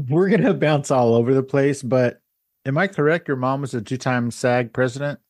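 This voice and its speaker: A man's voice: mid-range voice, normal speed, a little mellow. A man hosting a podcast, introducing his guest and chatting casually with enthusiasm. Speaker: podcast host. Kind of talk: casual interview chat.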